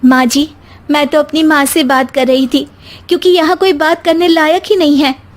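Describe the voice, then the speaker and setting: A woman's voice: high voice, steady tone, normal speed, voice pushed up, close to an everyday voice. A woman voicing a young, educated, outspoken daughter-in-law in a village moral story, speaking earnestly. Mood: hurt. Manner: earnest, pleading, indignant.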